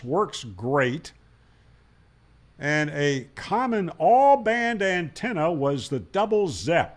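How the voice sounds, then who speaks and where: Animated higher-voiced man explaining things. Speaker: ham radio channel host. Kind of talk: explanatory storytelling to camera.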